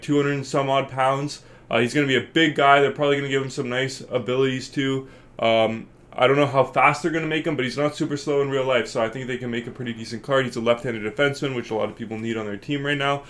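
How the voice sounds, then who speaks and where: A man's voice: medium pitch, a bit bright. A congested man hosting a gaming channel, giving casual, rambling commentary full of fillers as he thinks aloud. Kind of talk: casual video game commentary.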